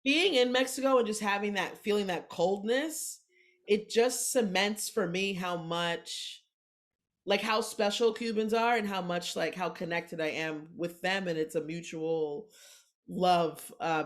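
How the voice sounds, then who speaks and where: A woman of forty talks casually as a podcast guest, spinning anecdotes with animated energy. She slips into quick put-on voices when she quotes others. Her pitch sits in a middle range. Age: 40s. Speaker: comedian guest.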